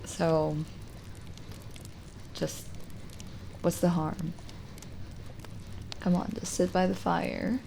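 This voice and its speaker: Kindly cottage witch, played by a woman for ASMR roleplay: unhurried, lowish creaky voice, gentle coaxing, hushed moments. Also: flat delivery, slight background noise, heavily acted. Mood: reassuring. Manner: gentle, coaxing, hesitant pauses, drifting into whispers. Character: lonely, kindly forest witch, soothing and hospitable. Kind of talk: ASMR roleplay audio drama.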